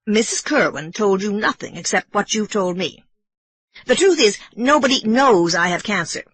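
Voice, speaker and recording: A woman's voice: mid-range voice, expressive, moderate pace, breathy edge, dry recording, pitched above the performer's own voice. A woman voicing an irritable middle-aged man who is ill, questioning sharply. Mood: agitated. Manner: sharp, interrogating.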